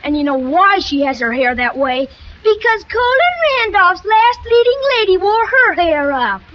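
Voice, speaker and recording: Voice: very high-pitched, big pitch swings, measured pace, exaggerated voice. A performer playing a bratty kid brother, whiny and sarcastic, in 1940s radio comedy style. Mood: whiny complaining. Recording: muffled old radio recording with hiss.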